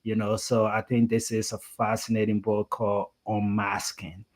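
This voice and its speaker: A man's voice: fairly low pitch, flat delivery, moderate pace. Guy hosting a chatty interview show, kinda rambles, lots of you knows. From Liberia. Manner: rambling, repeats "you know". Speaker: talk show host. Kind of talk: conversational interview.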